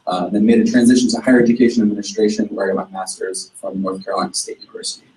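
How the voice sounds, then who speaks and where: A man from Florida rattles through his introduction at great speed. His voice is low, and he sounds distant, with faint room noise behind him. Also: fairly even intonation, very fast, mellow. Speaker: instructor. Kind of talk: self-introduction.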